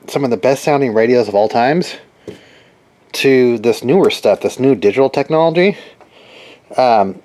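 A man giving a casual, unscripted hands-on demo as a hobby repair channel host, haltingly thinking aloud and repeating phrases. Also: medium pitch, mellow. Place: cluttered garage workshop with open door. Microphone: handheld camera microphone. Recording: noisy handheld camera in a garage. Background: ambient noise.

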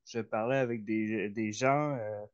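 A man's voice: on the low side, fairly even intonation, clean tone. Man, hesitant, many fillers, video call, muffled sound. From Canada. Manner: halting, many fillers and restarts. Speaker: audience member asking a question. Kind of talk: hesitant spontaneous question. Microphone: computer mic on a video call. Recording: slightly dull video-call audio.

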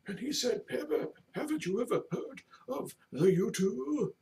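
A whimsical, very old gentleman tells his tales with grand, halting drama. The pitch is extremely high and swings widely up and down. He is heard at a distance from a webcam microphone in a home room, like a comedy web storyteller. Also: animated, slight background noise, full character voice. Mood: welcoming, theatrical. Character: whimsical, doddering, very old male storyteller, theatrical. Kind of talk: comedic in-character storytelling to camera. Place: small home room, indoors. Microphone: webcam at desk distance.

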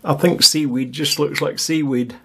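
A man talks over his own coastal footage in a relaxed, chatty voice-over, musing aloud and dropping wry little asides. His voice sits a touch high for a man. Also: normal speed, vocal fry, slightly rough. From Scotland. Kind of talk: casual voice-over commentary.

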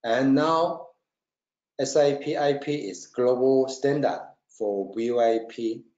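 A man presenting a technical webinar in halting non-native English, checking often that listeners follow. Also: medium pitch, warm tone. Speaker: webinar presenter, company marketing trainer. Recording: roomy, dull lo-fi webinar audio.

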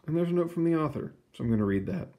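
A man reading a children's book aloud in an expressive, explanatory way, with casual asides. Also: fairly high pitch, rapid-fire. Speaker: picture book reader.

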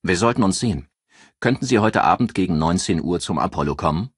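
Man acting a wry, low-voiced police inspector. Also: lively intonation, slightly rough, dry recording. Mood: polite, wry. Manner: brisk, dry, polite. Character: businesslike, wry male police chief inspector.

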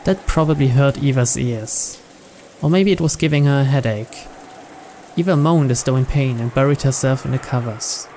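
A man's voice: medium pitch, moderate pace, dark tone, a little hiss or hum. A man reading visual novel narration aloud in a storytelling style. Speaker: narrator, let's player.

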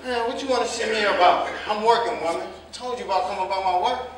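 An actor playing a defensive, brusque young cab driver in a staged reading, curt and dismissive. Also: male voice, high-pitched, fast, close to an everyday voice. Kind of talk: live staged play reading. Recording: echoey, distant, noisy stage recording.

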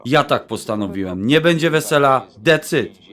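Determined groom with very lively pitch, man acting. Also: moderate pace, lightly acted. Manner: teasing, emphatic. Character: determined, teasing Polish-American groom standing up to his mother. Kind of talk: audio drama acting, Polish mixed with English slang.

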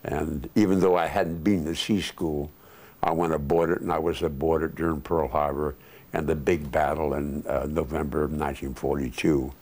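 An older man telling war memories in a casual interview, unhurried and rambling with many fillers, recorded on a lapel mic in a living room. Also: extremely low pitch, fairly even intonation, darkish tone. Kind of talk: casual oral history interview. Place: living room, seated on couch.

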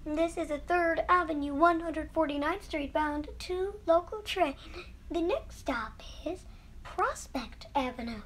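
Woman, train announcer, slow-paced, formulaic scripted delivery, very high pitch.

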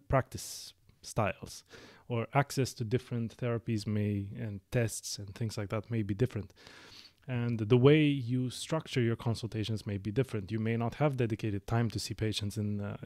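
A man in his thirties with a Romanian accent, hosting a medical podcast and explaining his clinical practice in a measured way, with frequent fillers and self-corrections. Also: mid-range voice, a little mellow, dry recording, clean studio sound. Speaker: lung specialist physician, podcast host. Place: small dark studio. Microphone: studio mic on boom arm.

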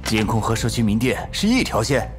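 A man playing a cautious middle-aged deputy police chief in an audio drama, earnest and deliberate, with a husky voice. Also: lowish voice, sing-song, over music. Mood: concerned, earnest. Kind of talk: Chinese audio drama acting.

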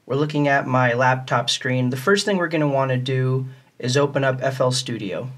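A man's voice: medium pitch, moderate pace, fairly bright tone. A man giving a casual, step-by-step tutorial in a plain, methodical way. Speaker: music tutorial host.

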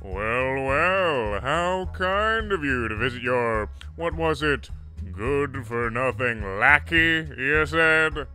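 A man acts out a flamboyant cartoon casino manager, vain and snarky. The delivery is theatrical and sarcastic, with a bright edge and faint music behind. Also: mid-range voice, lively intonation, takes it slowly, full character voice. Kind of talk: comic dub character acting.

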